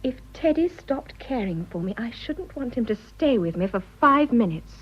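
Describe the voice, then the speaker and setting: An actress playing an earnest, defiant young wife in love, speaking simply and resolutely in a radio drama. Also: female voice, a little high, sing-song, moderate pace, breathy edge, lo-fi sound. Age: young adult.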